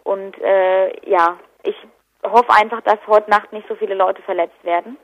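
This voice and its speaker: A woman's voice: mid-range voice, fairly even intonation, a little slow. A woman giving spontaneous interview answers as an activist. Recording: dull, lo-fi telephone line.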